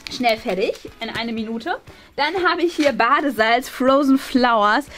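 A woman's voice: on the high side, measured pace, fairly bright tone, a little hiss or hum. A woman in her thirties hosting a vlog in casual chat, enthusiastic and gushing about things. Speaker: vlog host.

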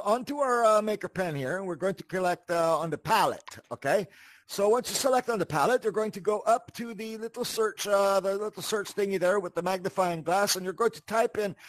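A man's voice: high voice, fairly quick, fairly bright tone, no echo. A man hosting a casual game tutorial, rambling, repeating words and often checking in with the listener. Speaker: host of a video game tutorial channel. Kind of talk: casual spoken tutorial with asides.

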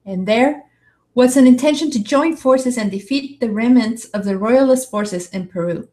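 Woman narrating in a higher-pitched voice. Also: normal speed, warm tone. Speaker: narrator reading an article. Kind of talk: scripted narration, read aloud. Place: small room, indoors. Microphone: studio condenser mic with pop filter.

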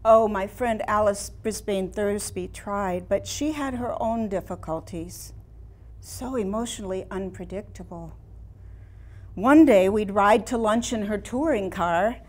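A woman in her sixties playing a proud, wistful woman painter in a theatrical, deliberate staged reading. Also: mid-range voice, darkish tone, lightly acted. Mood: rueful. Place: small room in a house before a seated audience.

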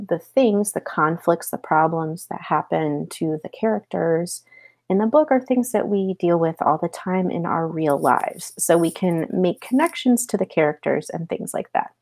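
Casting: American woman, thirties, schoolteacher reading aloud and explaining, lowish pitch, noticeable vocal fry. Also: animated, measured pace, a little mellow. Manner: explanatory, with rhetorical check-in questions. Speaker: elementary school teacher. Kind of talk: read-aloud lesson with explanations to pupils. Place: small home office, indoors.

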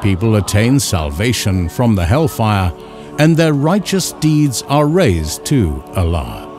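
A man reading scripted instructional narration in a measured, formal, carefully enunciated way. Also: fairly low pitch, big pitch swings, over music. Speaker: narrator of an educational film.

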